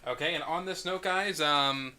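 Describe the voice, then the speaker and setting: A man's voice: a little high, normal speed. A man hosting an online group script discussion in a casual, conversational way. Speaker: host of an online script table read. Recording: bright, noisy voice-call microphone.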